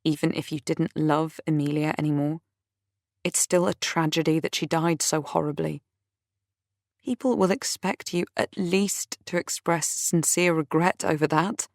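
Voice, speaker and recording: A woman's voice: lowish voice, dry recording, clean studio sound, close to an everyday voice. A woman voicing a patient friend who speaks carefully and holds back her temper. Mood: restrained. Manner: measured, restrained.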